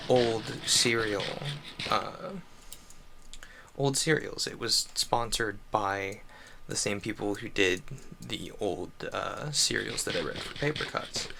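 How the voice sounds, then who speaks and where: A male game streamer with a gravelly, bright voice talks off the cuff. He rambles in a halting, casual way and drops small self-deprecating asides, with faint noise behind him. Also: on the low side. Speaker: game livestreamer. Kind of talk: casual solo livestream chat.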